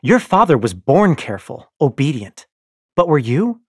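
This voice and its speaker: A fierce young rebel wife, put on by a male reader, speaks in a raised, swooping voice with a breathy edge. She pleads and pushes with urgent passion, and the delivery stays slow and deliberate. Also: a little high, big pitch swings, no echo, clean studio sound, voice pushed up, exaggerated voice. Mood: scornful.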